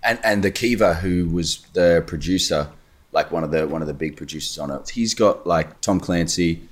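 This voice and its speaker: Man from Australia, very deep voice, eager casual storyteller. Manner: eager storyteller, lists credits quickly. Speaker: actor guest. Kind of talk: casual chat.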